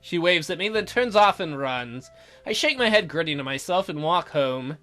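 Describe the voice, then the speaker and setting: A man's voice: a little high, lively intonation, normal speed, bright, forceful tone, faint music behind. A man reading a visual novel aloud as a let's play host, in a theatrical, jokey way with his own ad-libbed commentary.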